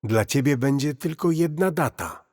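Grim doom-warning archivist played by a man for horror audio play: measured, husky, long reverb. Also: a little high, expressive, a little mellow, pitched above the performer's own voice. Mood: grave warning.

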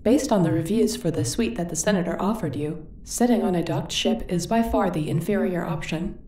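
Ship computer, female, voiced by a woman: lowish, echoey and distant, formal, literal, sci-fi audio drama. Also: fairly quick, natural voice. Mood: matter-of-fact. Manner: formal, even, matter-of-fact. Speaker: voice actor. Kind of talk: audio roleplay acting. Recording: echoey, distant, faint noise.

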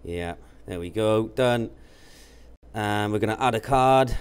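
A man, talking matter-of-factly through steps in an informal software tutorial. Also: fairly low pitch, slow pace. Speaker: presenter of product tutorials. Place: indoor office. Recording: clear background noise.

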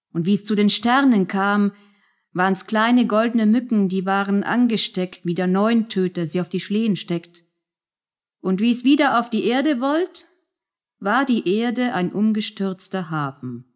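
A woman's voice: medium pitch, close to an everyday voice. A woman playing a grim grandmother who tells a bleak fairy tale in a radio play, in a plain, sorrowful manner. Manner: measured, plain storytelling.

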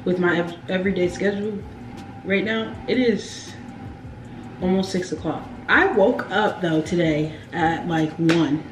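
A young woman vlogging from her home kitchen, chatting playfully to viewers as she makes a smoothie. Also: lowish voice, unhurried. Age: young adult. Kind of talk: casual vlog chat to camera. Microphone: camera on the counter. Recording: echoey kitchen with household noise.

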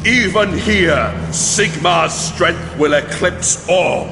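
A man voicing a fierce but haunted armored holy warrior in a fantasy audiobook, with a declamatory, halting delivery. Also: high-pitched, creaky, heavy background noise, voice pushed up, exaggerated voice. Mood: defiant. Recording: long reverb, music underneath.

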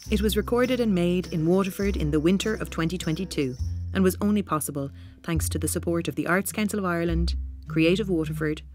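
A woman's voice: fairly low pitch, a little fast, darkish tone. A woman announcer reading scripted closing credits. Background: faint guitar music.

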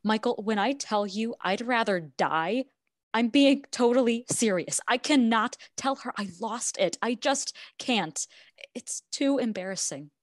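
An actress playing an anxious, proud young wife in a radio play, talking casually and dramatically. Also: female voice, on the high side, lively intonation, unhurried, dry recording, clean studio sound. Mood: desperate, stubborn. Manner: casual modern speech, dramatic. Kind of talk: naturalistic radio play acting.

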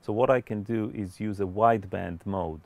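Give this to a man in his forties with a very deep voice and a lot of fry in it. He explains mixing like a studio instructor, in measured, slightly hesitant phrases. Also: darkish tone. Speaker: music producer and mixing instructor. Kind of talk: explanatory webinar tutorial. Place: indoor recording studio.

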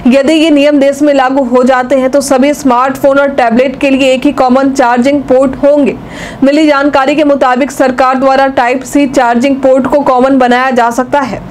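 Young woman reading news, high and flat. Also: normal speed, a little hiss or hum. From India. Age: young adult. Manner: steady, even newsreading delivery. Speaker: news anchor. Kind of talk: read news bulletin. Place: news studio.

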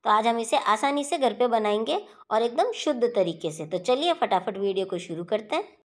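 A woman hosting a home cooking channel, talking viewers through a recipe step by step in a steady instructional voice-over. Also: a little high, normal speed.

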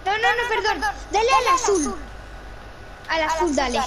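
A woman's voice: very high-pitched, expressive, normal speed. A female gamer chatting casually while directing a partner through a game step by step. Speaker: gamer playing co-op. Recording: clearly noisy home gaming recording.

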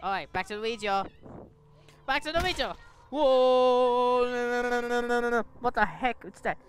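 A woman's voice: on the high side, moderate pace, a little hiss or hum. A woman giving dramatic, reactive let's play commentary, often repeating phrases and reading game text aloud. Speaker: gaming streamer. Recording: music behind the voice.

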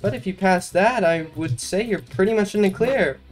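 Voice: medium pitch, moderate pace. A casual game commentator talking through a walkthrough in an informal way, with self-mocking asides. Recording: music behind the voice. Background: game music.

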